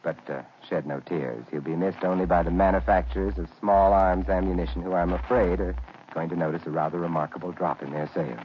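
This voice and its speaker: An actor playing a suave gentleman detective in an old radio drama, wry and unhurried. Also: sits low, fairly even intonation, close to an everyday voice. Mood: amused, probing. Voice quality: smooth, dryly witty, unhurried. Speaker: lead detective. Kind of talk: 1940s radio acting. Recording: dull old radio recording, faint hiss.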